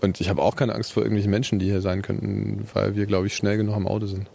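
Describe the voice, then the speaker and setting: A man's voice: lowish voice, level tone, fast talker, vocal fry, dark tone. A man chatting casually during an on-site reportage, recorded in the field. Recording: noisy field recording outdoors.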